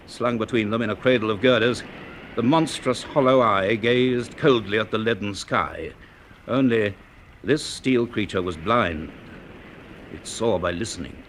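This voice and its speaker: An actor playing a reserved male university professor in a radio drama, speaking and narrating in a formal, measured, literary way. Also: on the low side, fairly bright tone, slight background noise. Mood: grave, descriptive. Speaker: narrator and lead character.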